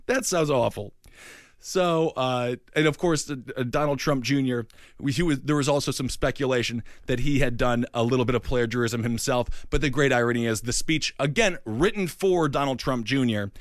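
A man with a Midwestern accent co-hosting a casual political comedy chat, riffing on jokes and quick tangents. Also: mid-range voice, no echo, clean studio sound. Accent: Midwestern American. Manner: joking, riffing, fast tangents. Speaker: podcast co-host.

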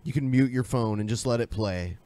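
A man with a clear, smooth voice hosting a podcast in a casual style. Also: medium pitch, brisk, mellow, dry recording. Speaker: podcast host.

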